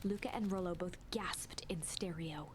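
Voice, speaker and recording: A woman's voice: fairly low pitch, moderate pace, background noise. A woman giving a dramatic reading of a story game's narration. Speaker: let's play host, narrator. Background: music.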